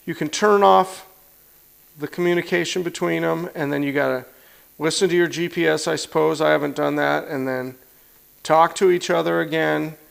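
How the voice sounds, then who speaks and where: A man's voice: a little high, creaky, smooth. A man talking through a casual hands-on product review in a plain-spoken, blunt way, demonstrating as he goes. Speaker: product reviewer, motorcycle channel host.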